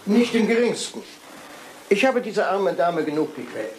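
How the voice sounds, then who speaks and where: A polite, probing male detective in a crime radio play. His voice is slightly high and very animated in pitch. He questions with shrewd patience, on a faintly noisy recording. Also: moderate pace. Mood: courteous. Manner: polite, inquisitive, precise questioning.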